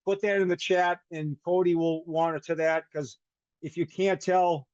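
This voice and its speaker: A man's voice: on the high side, steady tone, moderate pace, a little mellow, no room sound. Rambling Boston-accented older man presenting. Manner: rambling, restarts and self-corrections, jokey. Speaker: webinar presenter. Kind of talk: live webinar presentation. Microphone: video call microphone. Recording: dull webinar call audio.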